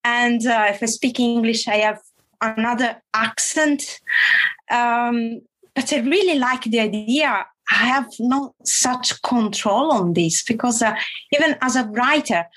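A woman writer with an Italian accent who speaks slowly and searches for her words with many fillers. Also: a little high, a bit bright. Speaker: writer, panel guest. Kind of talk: casual chat.

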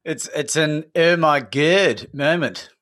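A man hosting an interview, relaxed and joking, often repeating words as he talks. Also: fairly high pitch, takes it slowly. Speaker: host. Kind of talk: casual interview chat.

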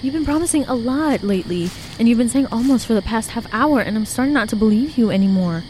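A young woman playing an anxious, wisecracking hiker in an audio drama, talking in a slangy, expressive, playful way. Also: fairly high pitch, fast talker, a little hiss or hum, close to an everyday voice, poor audio. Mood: wary, suspicious. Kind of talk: naturalistic audio drama acting.

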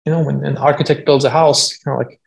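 A man's voice: mid-range voice, fairly even intonation, quick pace, gravelly, rough recording. A man in his forties with a German accent, a guest entrepreneur talking casually on a podcast, full of fillers. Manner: conversational, frequent fillers, self-deprecating.

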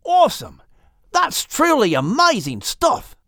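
A woman puts on an excitable storybook animal, boisterous and wide-eyed. The voice is pitched high and swoops wildly, exclaiming each phrase. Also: takes it slowly, dry recording, clean studio sound, heavily acted. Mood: amazed.

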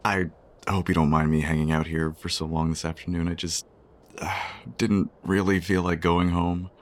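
Bashful male customer, low register, stammering and apologetic, for a romantic radio play, acted by a man. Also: level tone, normal speed, natural voice. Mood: shy, hesitant. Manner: hesitant, stammering, self-effacing. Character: shy, apologetic, flustered man, diner customer. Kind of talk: romantic audio drama acting, naturalistic.

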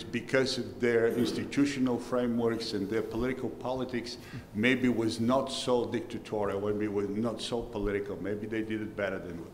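A man from Bulgaria asks a rambling question from the audience and gropes for words along the way. His voice sounds roomy, with a long hall reverb and faint ambient noise around it. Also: lowish voice. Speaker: audience member asking a question. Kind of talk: question from the floor.

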